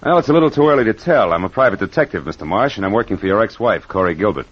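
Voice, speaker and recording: A man's voice: on the low side, animated, racing, slightly rough, dry recording. A man playing a wry, wisecracking private detective with an American accent, in 1940s radio acting style. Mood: dry, probing. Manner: wisecracking, hard-boiled. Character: wry, tough male private detective narrating his case. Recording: dull vintage radio recording with music.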